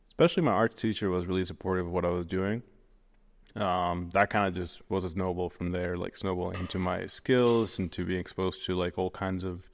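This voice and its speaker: A man's voice: low voice, big-room sound. A young man from Venezuela, a guest in a casual interview, rambling with many fillers and restarts. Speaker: guest, 3D artist.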